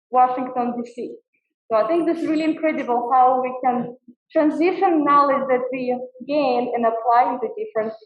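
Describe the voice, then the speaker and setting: Woman host, high voice, introduces a guest; tinny, distant, echoey recording. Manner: warm, admiring, with frequent fillers. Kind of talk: formal introduction at a talk.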